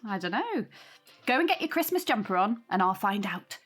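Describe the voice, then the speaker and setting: An actress playing a warm, hospitable young aunt, chatty and gently teasing, in a naturalistic audio drama. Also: female voice, medium pitch, sing-song, moderate pace, music in the background, natural voice.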